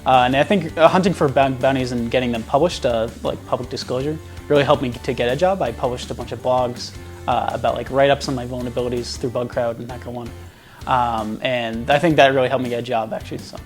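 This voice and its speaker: A man's voice: mid-range voice, fairly even intonation, fast. A young man in his twenties from the New York area, talking at length with many fillers about bug hunting. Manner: rambling with frequent "uh" fillers. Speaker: bug bounty hunter. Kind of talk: casual interview answers. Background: faint music.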